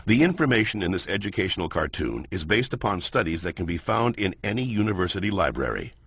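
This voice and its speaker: A man playing a genial, wry science narrator in an educational cartoon, explaining in measured phrases. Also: sits low, big pitch swings, a bit bright, breathy edge, no room sound, heavily acted. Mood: measured, authoritative. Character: genial male science narrator moderating a debate. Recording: music behind the voice.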